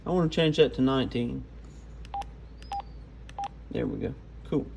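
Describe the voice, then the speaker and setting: A man's voice: medium pitch, a little slow, vocal fry, darkish tone, a little hiss or hum. A man giving an informal, off-the-cuff hands-on product walkthrough. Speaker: radio gear reviewer.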